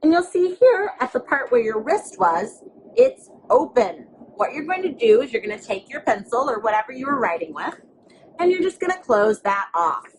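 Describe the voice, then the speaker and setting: A woman's voice: a little high, big pitch swings, moderate pace, a little hiss or hum, poor audio. A woman teacher giving a step-by-step craft lesson to young children, explaining things simply and patiently.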